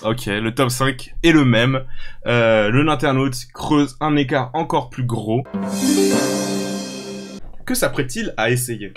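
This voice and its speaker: A man's voice: medium pitch, takes it slowly, long pauses. A man hosting a live quiz in a casual, playful, slangy and exclamatory way. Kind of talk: casual, jokey livestream quiz commentary. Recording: loud music under the voice. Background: music.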